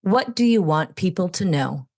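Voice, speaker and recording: A woman's voice: lowish voice, animated, moderate pace, darkish tone. A woman reading a formal, scripted voice-over for an advocacy group.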